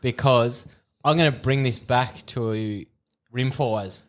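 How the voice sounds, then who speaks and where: A man's voice: mid-range voice, slow pace. Laid-back Australian man telling stories. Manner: laughing, easygoing storyteller. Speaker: podcast co-host. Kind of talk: casual chat, storytelling.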